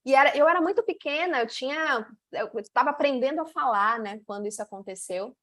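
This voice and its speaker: A middle-aged Bahian woman leads an online masterclass as a mentor. Her voice sits a little high, and she often pauses and adds small checks to keep listeners with her. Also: animated, a bit bright. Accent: Bahian Brazilian Portuguese. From Salvador, Bahia, Brazil. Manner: frequent "né" and "tá" tags, pauses and drawn-out stretches. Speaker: women's mentor.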